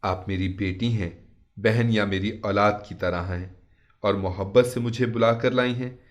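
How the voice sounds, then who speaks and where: Male narrator as gentle pious cleric in a moral story, lowered pitch, earnest measured counsel. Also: close to an everyday voice.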